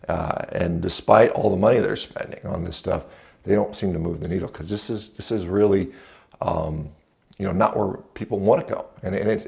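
A man's voice: low-pitched, creaky, darkish tone. A middle-aged American man in his fifties giving blunt, dismissive, off-the-cuff commentary to camera in a conversational way. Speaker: video reviewer and commentator. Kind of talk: unscripted opinion commentary to camera. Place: indoors, home entrance hall. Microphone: small clip-on lapel mic.

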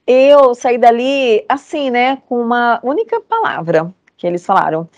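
A woman's voice: fairly high pitch, animated, a little slow, darkish tone, no room sound, lo-fi sound. Earnest woman lecturing by video call. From Brazil. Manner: earnest, emphatic, repeats phrases for conviction. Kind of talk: motivational talk to students.

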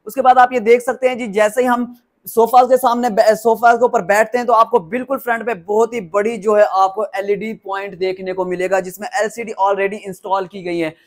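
A man's voice: high voice, flat delivery, fairly quick. A man with a Pakistani accent presenting a house tour enthusiastically, with effusive, repetitive salesman patter. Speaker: property tour host.

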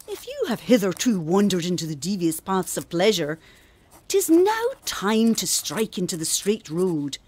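An actress playing a refined, earnest older gentlewoman reading her formal, gently preaching letter in a radio drama. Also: lowish voice, wildly swooping pitch, unhurried, husky, exaggerated voice. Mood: earnest, admonishing.

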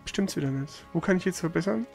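A man with a high, creaky voice plays a video game and comments casually. He is blunt and quick to grumble, and loud game music runs behind him. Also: animated. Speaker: let's player.

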